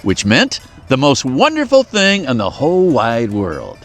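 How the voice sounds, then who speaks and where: A man reading a children's folktale aloud in a very expressive, playful storyteller manner. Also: medium pitch, unhurried, soft background music.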